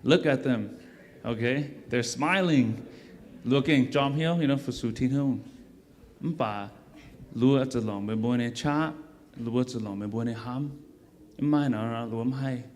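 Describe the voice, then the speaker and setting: A man's voice: mid-range voice, a little slow, a little mellow, big-room sound, slight background noise. Casual man leading a church service. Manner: casual, encouraging, repeats himself. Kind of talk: addressing a congregation.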